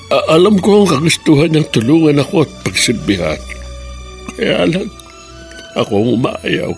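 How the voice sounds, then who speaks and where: An actor playing an elderly Filipino father and grandfather in a radio drama, tender, halting and full of apology. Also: a little high, very animated, gravelly, faint music behind. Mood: sorrowful.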